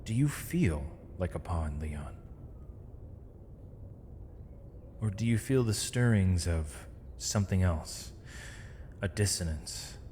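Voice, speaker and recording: An actor playing a proud, weary captive mage in an ASMR roleplay, with eloquent, theatrical and measured formal diction. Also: male voice, deep voice, lively intonation, dark tone. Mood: probing, intimate. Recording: reverberant hall, faint noise, dull lo-fi sound.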